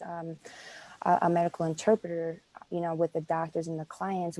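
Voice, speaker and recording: A woman's voice: on the low side, dark tone, dry recording, poor audio. A woman, a native Greek speaker, talking earnestly in a casual interview, with many fillers and restarts. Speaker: interview guest.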